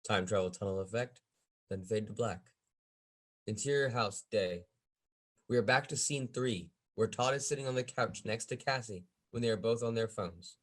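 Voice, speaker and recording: A man's voice: lowish voice, moderate pace, a bit bright. A man reading stage directions as the narrator of a script table read.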